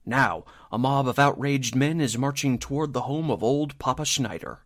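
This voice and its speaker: A man's voice: mid-range voice, moderate pace. A man narrating a 1940s-style radio drama as its announcer, in scripted delivery.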